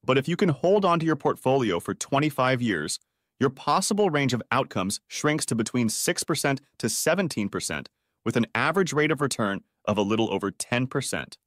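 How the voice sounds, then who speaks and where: A man presenting a scripted finance explainer in a didactic, measured manner with rhetorical questions. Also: mid-range voice, very animated, fairly bright tone, no echo, clean studio sound.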